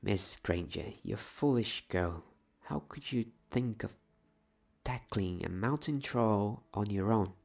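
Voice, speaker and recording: A man voicing a stern older woman professor in a storybook reading, scolding in a measured way. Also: fairly low pitch, animated, vocal fry, a little mellow, heavily acted. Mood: stern, disappointed.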